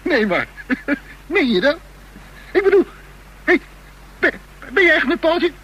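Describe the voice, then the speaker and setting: An actor playing a reckless, taunting young gang leader with a death wish in a Dutch radio drama, in a mocking, excitable manner. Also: male voice, very high voice, unhurried, lo-fi sound, heavily acted. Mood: gleeful, excited. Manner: mocking, excitable, breaking into laughter. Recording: noisy radio drama recording.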